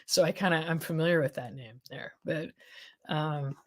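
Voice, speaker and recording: Lower-voiced woman chatting on a livestream, voice going. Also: normal speed, a little hiss or hum. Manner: rambling, many restarts and fillers, thinking aloud. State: losing her voice. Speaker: legal affairs journalist hosting a livestream. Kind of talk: casual livestream chat reading viewer comments.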